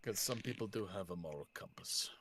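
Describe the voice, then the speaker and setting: A man's voice: deep voice, expressive, brisk, a bit bright. A man playing a tabletop role-playing game, talking casually and speaking for his character.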